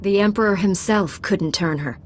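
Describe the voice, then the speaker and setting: A woman playing a steadfast, earnest Jedi hero in a video game, calm and resolute. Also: mid-range voice, moderate pace, slight background noise, lightly acted.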